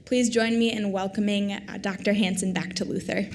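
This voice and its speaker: A woman's voice: medium pitch, steady tone, moderate pace, very noisy. A young woman in her twenties, a college student, reading a formal introduction in a lecture hall with hesitant fillers. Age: 20s. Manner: reads with nervous fillers.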